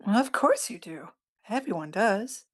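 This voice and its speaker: A woman's voice: mid-range voice, animated, unhurried. A woman playing a sweetly doting yet sinister otherworldly mother in a screenplay table read, coaxing and playful. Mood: sweetly eager. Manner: coaxing, playful, sing-song.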